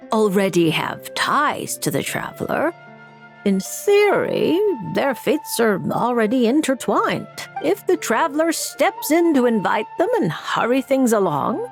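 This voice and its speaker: A woman voicing a grumpy, exasperated fortune-teller with a sardonic, matter-of-fact manner in a video game. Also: fairly high pitch.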